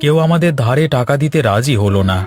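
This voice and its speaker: A man's voice: mid-range voice, animated, fast talker, a little mellow, faint music behind, close to an everyday voice. A man voicing a poor, caring village farmer and family man in a cartoon, talking plainly and earnestly. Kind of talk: cartoon voice acting.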